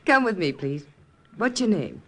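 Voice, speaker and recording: An actress playing a brisk, good-humored army nurse in old-time radio drama style. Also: female voice, mid-range voice, sing-song. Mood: brisk, friendly. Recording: noisy vintage radio recording.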